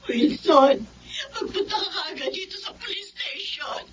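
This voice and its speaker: A woman's voice: very high-pitched, lively intonation, unhurried, dull audio, slight background noise. A woman playing a frantic, tearful older mother-in-law in a Tagalog radio drama, wailing with a Filipino accent. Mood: frantic grief.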